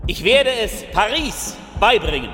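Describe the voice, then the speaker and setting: A woman's voice: mid-range voice, big pitch swings, measured pace, a bit bright. A woman playing a grandiose, determined political orator who declaims bold vows in a theatrical radio piece. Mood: resolute, grandiose. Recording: long hall reverb, music underneath.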